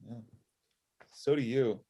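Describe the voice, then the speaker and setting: A man's voice: mid-range voice, slow pace, warm tone. A man playing a self-assured matchmaking boss with a casual manner in a comedy table read on a video call. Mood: resigned. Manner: casual, offhand. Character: breezy, self-assured male matchmaking business owner. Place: indoor room at home. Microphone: video call, sitting back from laptop.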